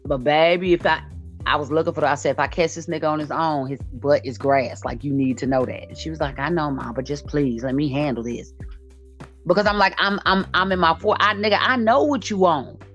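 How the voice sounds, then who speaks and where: A woman's voice: low-pitched, a little fast. A woman in her late forties hosting a casual conversation, blunt and emphatic, repeating her points for emphasis. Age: late 40s. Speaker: podcast host. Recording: dull lo-fi audio, music behind.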